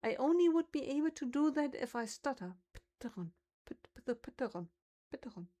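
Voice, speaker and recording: A woman's voice: high voice, animated, normal speed, dark tone. A woman with a German accent, a native German speaker, chatting casually on a livestream and musing aloud over how words are pronounced.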